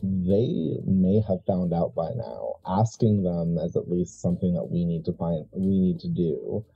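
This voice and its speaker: Man playing a low, husky, scheming occult heir. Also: heavily acted. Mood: calculating. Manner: deliberate, halting, explanatory. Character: scheming male occult heir, measured, proud, coldly calculating. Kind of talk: improvised tabletop horror roleplay. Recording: muffled mic with music behind.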